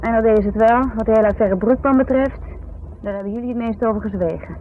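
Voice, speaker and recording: A woman's voice: fairly high pitch, fast talker, natural voice. Woman playing anxious nagging wife, questioning, needling, 1970s radio drama, phone-like recording, faint noise. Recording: thin, phone-like old radio recording, hissy.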